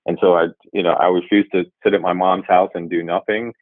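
A man in his forties from Jamaica, a guest speaking in a measured, thoughtful way and often pausing to rephrase. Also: sits low, little pitch movement, dry recording. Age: 40s. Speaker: guest, revenue executive. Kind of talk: reflective interview answers. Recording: tinny phone-like line, dry.

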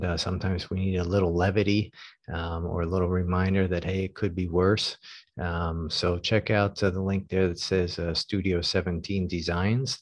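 A man hosts a podcast in a casual, earnest way, padding his sentences with ums and uhs. His voice sits low. The sound is dry and close, with no room echo. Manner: frequent filler words, earnest and plain-spoken. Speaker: podcast host. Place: America.

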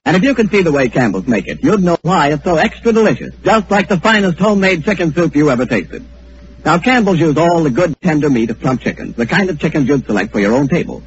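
A man's voice: on the high side, sing-song, fast. An American man announcing in a polished, emphatic 1930s radio advertising style. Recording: muffled old radio broadcast.

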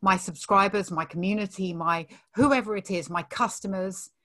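A woman's voice: mid-range voice, normal speed. A woman hosting a podcast interview, encouraging and affirming her guest, with a casual tone and the odd swear word. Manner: warm-hearted, encouraging, occasional swearing. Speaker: podcast host.

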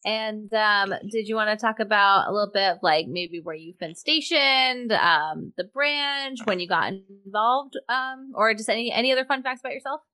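A woman's voice: medium pitch, moderate pace, hardly any pauses, bright, forceful tone, clean tone. A woman from Maine hosting a podcast interview, upbeat and encouraging, with frequent agreeing murmurs. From Maine, USA. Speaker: podcast host.

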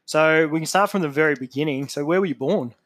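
A man with a somewhat higher voice hosts a casual interview. He encourages his guest with steady short affirmations, recorded close and dry. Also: quick pace, no room sound. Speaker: podcast host.

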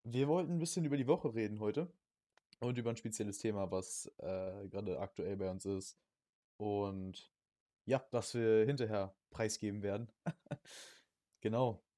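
A young man co-hosting a podcast in casual chat, using youth slang and often halting to search for words. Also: fairly low pitch, fairly even intonation, mellow, no room sound, studio quality. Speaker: podcast co-host.

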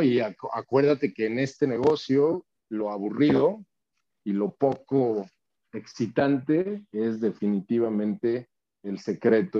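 A man's voice: fairly low pitch, dark tone. Rambling Mexican man hosting a podcast. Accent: Mexican Spanish. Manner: friendly, rambling, repeats words. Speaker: podcast host. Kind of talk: casual interview chat. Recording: slightly muffled audio.

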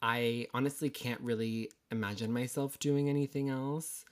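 A man's voice: mid-range voice, steady tone, normal speed. A young man from Connecticut, co-hosting a podcast in bubbly, giggly chat. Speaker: podcast co-host. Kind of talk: casual chat.

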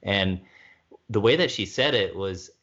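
A man with a low voice talks loosely and casually, circling his point with lots of "like"s and restarts. The audio is a bit dull. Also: animated, measured pace, a bit bright. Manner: rambling, many "like"s and restarts. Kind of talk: casual conversation.

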